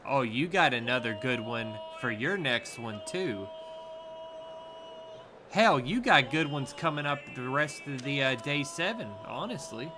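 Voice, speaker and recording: A man's voice: medium pitch, lively intonation, unhurried, over music. A man with a Southern US accent giving laid-back, rambling sumo commentary, laughing easily. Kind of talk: casual live commentary.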